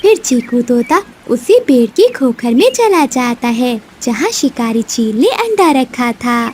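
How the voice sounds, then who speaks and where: A woman's voice: fairly high pitch, very animated, a little slow, darkish tone, slight background noise. A woman narrating a scripted children's cartoon story.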